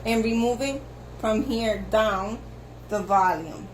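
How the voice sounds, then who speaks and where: A woman hairstylist whose first language is Spanish, giving slow step-by-step instructions for a haircut, with lots of repetition. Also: a little high, slight background noise. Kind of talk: haircut tutorial narration. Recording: echoey room with faint ambience.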